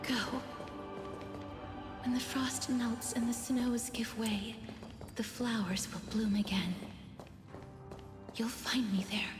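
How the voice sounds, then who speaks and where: Dying lady leader in a fantasy game, breathy gentle whisper, echoey, music behind. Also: fairly high pitch, fairly even intonation. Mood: tender, fading. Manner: slow, gentle. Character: serene, dying woman leader giving a tender farewell. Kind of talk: game cutscene voice acting. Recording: echoing game audio under music.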